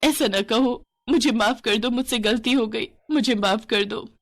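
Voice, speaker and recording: A woman's voice: on the high side, a little slow, no echo, voice pushed up. A woman voicing a cruel village stepmother in a story, with a sneering, taunting manner. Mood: alarmed, pleading.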